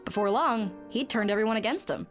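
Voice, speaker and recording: A woman playing a wry, jaded, sardonic bathhouse worker in a video game, with a deadpan manner. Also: fairly high pitch, fairly quick, lightly acted. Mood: wry, amused. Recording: game music behind.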